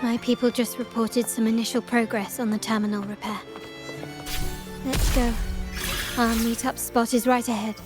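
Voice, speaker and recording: A woman acts as a young video game heiress, guarded and resolute, with a fairly high voice. Her delivery is measured and formal, ringing in long reverb with music underneath. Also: flat delivery, lightly acted. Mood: brisk, commanding. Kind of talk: dramatic video game dialogue.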